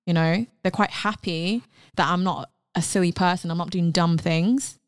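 A woman's voice: on the low side, steady tone, normal speed, smooth, no room sound. Chatty young woman, dry sound. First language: Cantonese. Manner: chatty, many fillers and restarts. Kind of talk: casual podcast chat.

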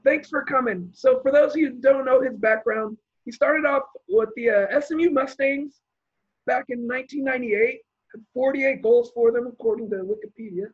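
Husky high-voiced man hosting a podcast. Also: moderate pace, highs cut off. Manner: relaxed, reads out guest background with fillers. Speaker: podcast host. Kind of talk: casual podcast interview.

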